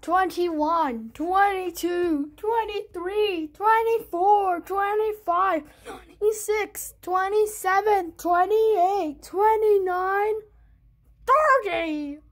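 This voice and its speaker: An amateur fan performer with an extremely high, childlike voice does a playful homemade cartoon dub. The delivery is slow and unhurried, counting through numbers aloud.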